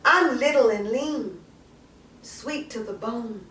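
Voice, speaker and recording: A woman's voice: a little high, takes it slowly, fairly bright tone, slight background noise. A woman performing a playful poem as sassy, flirtatious women boasting of their charms, teasing and savoring each phrase. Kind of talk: playful dramatic poetry reading. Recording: roomy echo, distant microphone.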